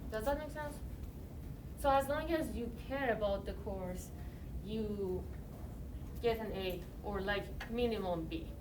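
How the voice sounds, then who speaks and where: An Iranian-accented woman teaches a chemistry class, talking in a loose, conversational way full of fillers. She is picked up by an iPad some distance away, so her voice sounds far off. Steady room noise runs underneath. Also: mid-range voice, unhurried. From Iran. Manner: conversational, many fillers like "you know" and "like". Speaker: chemistry lecturer. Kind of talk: classroom lecture. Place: university classroom. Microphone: iPad recording from a distance. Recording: distant mic, noisy classroom.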